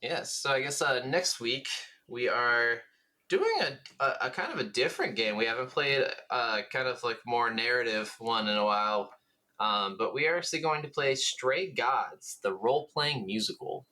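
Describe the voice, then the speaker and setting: A man with a bright, keen-edged voice at a middling pitch co-hosts a gaming podcast. He talks casually and enthusiastically, rambling from one thought into the next and swearing now and then. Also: animated, normal speed. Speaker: podcast co-host.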